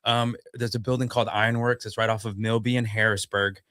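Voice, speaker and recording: A man's voice: fairly low pitch, level tone, fairly quick, fairly bright tone, smooth, no echo, clean studio sound. A man from the US South, a bar owner, talking easily as a guest on a live show. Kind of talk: casual interview.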